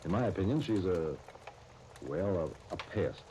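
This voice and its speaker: An actor playing a blunt, grudging young shop partner in a 1930s radio soap opera, wry and grumbling, with hesitant starts. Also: male voice, low-pitched, expressive, moderate pace, warm tone. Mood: irritated, disdainful. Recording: muffled, hissy 1939 radio broadcast recording.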